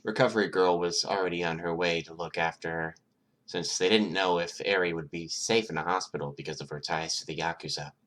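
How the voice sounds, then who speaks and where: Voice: deep voice, steady tone, a little fast, fairly bright tone. A narrator reading scripted fiction aloud as an amateur audiobook.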